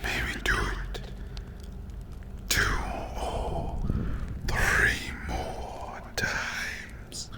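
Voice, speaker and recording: A man voicing a menacing, sadistic demon captor in a whispered ASMR dark roleplay, breathy and gravelly, taunting with possessive, mock-tender threats. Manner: slow, drawn-out, taunting, threatening.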